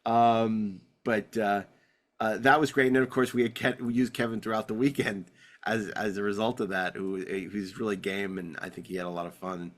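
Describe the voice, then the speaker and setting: A man hosting a podcast in casual discussion, telling rambling anecdotes with halting, repeated words. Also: fairly low pitch, steady tone. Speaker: podcast host. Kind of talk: casual panel discussion of classic TV.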